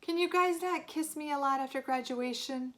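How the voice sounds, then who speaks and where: A woman's voice: high voice, moderate pace, a bit bright, pitched above the performer's own voice. A woman reader voicing a self-conscious, affectionate fifth-grade boy, informal and friendly, in a children's story. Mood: shy, affectionate. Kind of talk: children's audiobook-style read-aloud.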